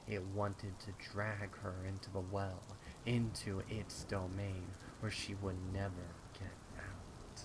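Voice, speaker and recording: A man reading a horror story aloud as a narrator, in a grave, deliberate, suspenseful manner. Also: on the low side. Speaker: fan fiction narrator. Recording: rain noise behind the voice.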